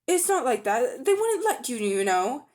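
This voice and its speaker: A woman's voice: high voice, very animated, moderate pace, darkish tone, voice pushed up. A woman voicing a nervous, polite young schoolboy in a storybook, hesitant, stammering and protesting. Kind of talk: dramatic storybook reading.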